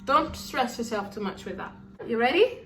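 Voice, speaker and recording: High-voiced woman teaching singing. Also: normal speed, over music. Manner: relaxed, encouraging, often checks in with "yeah?"; demonstrates lip trills and sung syllables. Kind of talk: singing lesson with vocal exercises. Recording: echoey room, voice a little far from the mic.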